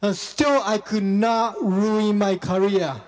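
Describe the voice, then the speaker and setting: A young man performing stand-up, self-deprecating and halting, full of fillers and restarts. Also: high voice, fairly even intonation, darkish tone, in a large hall. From Japan. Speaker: stand-up comedian. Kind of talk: stand-up comedy set.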